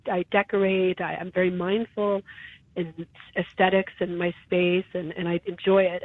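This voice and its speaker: A woman's voice: medium pitch, no room sound. Earnest woman on a tinny phone line. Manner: earnest, reflective, with frequent restarts. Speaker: show host. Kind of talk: conversational interview talk. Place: her home.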